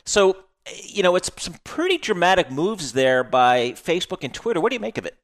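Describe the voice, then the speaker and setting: A man's voice: high-pitched, very animated, brisk. A man hosting a news programme, putting questions to a guest in a conversational broadcast style. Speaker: radio news host.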